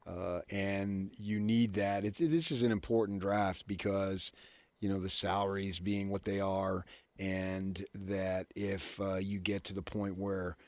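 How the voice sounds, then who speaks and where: A low-voiced American man gives casual sports commentary as a radio co-host. He speaks in a halting way, circling back to restate his points, and the sound is dry and close. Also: steady tone, dry recording.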